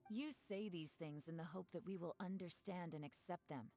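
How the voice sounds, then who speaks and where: A shrewd, sardonic woman operative from a fantasy game, played by an actress. Her voice sits fairly low for a woman, and she speaks with composed, dry, pointed confidence. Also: moderate pace, mellow, soft background music, natural voice. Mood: cutting. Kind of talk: video game voice acting.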